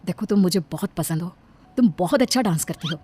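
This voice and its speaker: A woman's voice: lowish voice, animated, a little fast, warm tone, breathy edge. A woman voicing an earnest, lovestruck young man in a storybook tale, pleading and insistent. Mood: smitten. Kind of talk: storybook character dialogue. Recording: background music under the voice.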